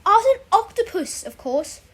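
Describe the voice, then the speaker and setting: A cocky storybook schoolboy voiced by a woman, high-pitched and lively in intonation. He sounds proud and matter-of-fact, sure of himself. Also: normal speed. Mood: self-assured. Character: proud, self-assured schoolboy.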